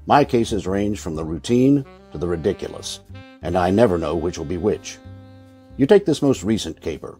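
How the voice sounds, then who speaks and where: Male actor as noir detective narrator, world-weary and wry, deep, relaxed voice, old-time radio drama. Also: normal speed, soft background music. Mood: dry, sardonic. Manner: dry, sardonic.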